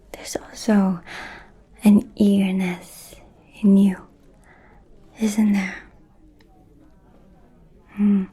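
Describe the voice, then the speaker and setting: Woman acting as an ancient vampire lady, asmr roleplay, breathy mellow whisper, super slow n seductive, kinda menacing. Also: medium pitch, exaggerated voice. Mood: seductive, probing. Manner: slow, deliberate, pausing, intimate.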